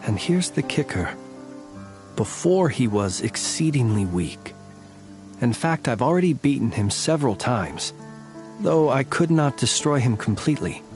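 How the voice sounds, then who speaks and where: A man's voice: medium pitch, natural voice. Male actor as world-weary noble warrior, courtly, stoic, eloquent archaic diction, video game dialogue, faint music. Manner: formal, archaic, eloquent phrasing.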